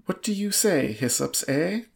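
A man voicing a genial, obliging, quick-witted earl with hearty society politeness, in a storybook reading. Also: high voice, animated, creaky, pitched above the performer's own voice, full character voice. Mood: breezy.

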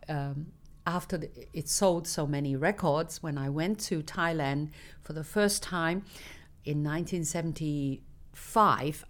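Older woman with a low voice. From Hong Kong. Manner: anecdotal, laughs between stories. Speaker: singer interviewed as guest. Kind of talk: reminiscing interview.

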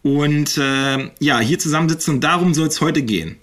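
A man's voice: a little high, normal speed, a bit bright. A man in his forties from northeastern Germany hosting a casual podcast, talking in a rambling way with many fillers and restarts. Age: 40s. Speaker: podcast host.

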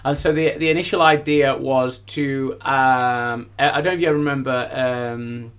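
A man's voice: medium pitch, fairly even intonation, moderate pace, fairly bright tone, smooth. A man speaking as a musician guest, telling anecdotes in a casual, gushing, enthusiastic way. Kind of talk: casual anecdotal interview chat.

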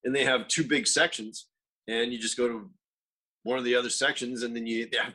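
A laid-back man co-hosting a casual chat show. His voice is bright and forward with a husky edge. He talks loosely and swears now and then. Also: mid-range voice, fairly even intonation, fairly quick, rough recording. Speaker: podcast co-host.

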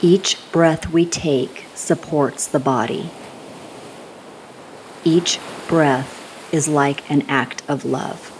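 A woman with a low-pitched voice leads a guided meditation. She takes her time, speaking slowly and soothingly with gentle instructions and long pauses. A faint rumbling hiss sits behind her voice.

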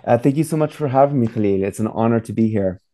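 A man's voice: medium pitch, fast, dark tone. A man in his forties who grew up in Saudi Arabia, an author speaking as an interview guest, rambling with many fillers and restarts. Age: 40s. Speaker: author and professor.

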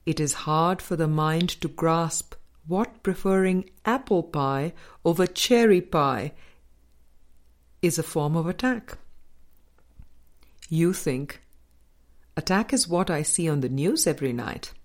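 A woman reading a spiritual book aloud as a scripted audiobook, in a measured, deliberate manner with pauses between phrases. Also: deep voice, expressive. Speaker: audiobook reader.

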